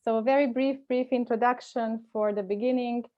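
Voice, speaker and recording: A woman in her forties moderating an online meeting from a bright room at home, speaking warmly with lots of hesitations into an earphone mic. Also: on the high side, fairly even intonation, brisk, highs cut off. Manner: warm, hesitant, many fillers. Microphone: earphone mic on video call.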